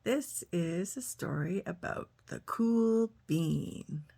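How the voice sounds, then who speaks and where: Older female storyteller, grandmotherly reader for young children. Unhurried and soft-edged, expressive, lingers on key words. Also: fairly low pitch, vocal fry. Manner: slow, deliberate, drawing out key words. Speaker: grandmother reading stories aloud for children. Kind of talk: expressive picture-book storytelling.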